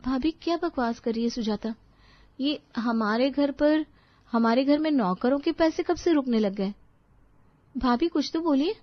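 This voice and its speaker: A woman voicing a pampered, spirited young daughter-in-law in a story, animated and questioning. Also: sits high, a little slow, no room sound, muffled recording, voice pushed up. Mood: indignant, puzzled.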